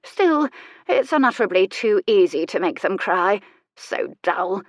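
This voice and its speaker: A woman acts out a bedridden old noblewoman from a storybook. She is haughty and sharp-tongued, teasing with dry, theatrical relish. The sound is close and dry, with a raised, emphatic delivery. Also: mid-range voice, lively intonation, a little slow, dry recording, natural voice. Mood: mischievous, scornful. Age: older. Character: elderly bedridden aristocratic lady, sharp-tongued and mischievous.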